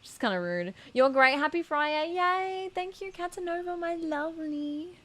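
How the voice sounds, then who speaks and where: A woman's voice: extremely high pitch, lively intonation, normal speed, fairly bright tone. A woman chatting casually as she hosts a game stream, greeting viewers affectionately.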